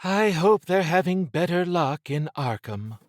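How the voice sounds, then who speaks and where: A man voicing a shrewd, wary female spy in an audiobook, brisk and a little sardonic. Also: sits high, expressive, pitched above the performer's own voice. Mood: frustrated, questioning. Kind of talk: audiobook character dialogue.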